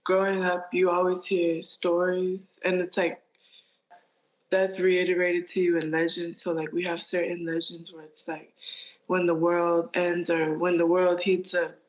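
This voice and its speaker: Flat-voiced young man, dull recording. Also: sits high. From Florida, United States. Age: teens. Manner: even and unhurried, with many "like"s. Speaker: guest. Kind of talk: casual interview answers.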